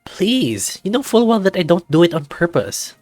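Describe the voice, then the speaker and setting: A man's voice: high-pitched, very animated, moderate pace, darkish tone, dry recording, voice pushed up. Man playing an earnest, flustered young male student. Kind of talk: acted visual novel dialogue. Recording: background music under the voice.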